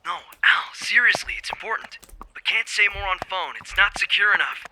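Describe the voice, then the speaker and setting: A man's voice: sits high, brisk, whispered, natural voice. A man voicing a terse, secretive colleague making an urgent phone call in clipped phrases, in a fandub. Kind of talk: fandub character acting.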